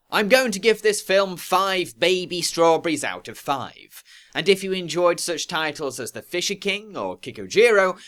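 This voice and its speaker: A man narrating a scripted video film review in an enthusiastic, expressive manner. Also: on the high side. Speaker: film reviewer and show host. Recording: roomy, echoey voice track.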